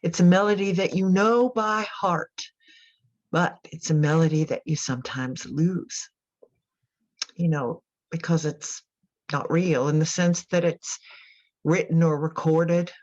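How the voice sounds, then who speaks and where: A troubled country mother in a radio play, confiding and thoughtful. She speaks very slowly in a lowish voice, leaving pauses as she reflects. The sound is slightly dull and muffled. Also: a little mellow. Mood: tender, wistful. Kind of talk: radio drama monologue.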